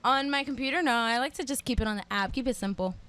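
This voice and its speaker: A woman's voice: on the high side, quick pace, a bit bright. A woman from New York co-hosting a casual podcast, giggly and playful in her banter. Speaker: podcast co-host. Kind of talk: casual chat.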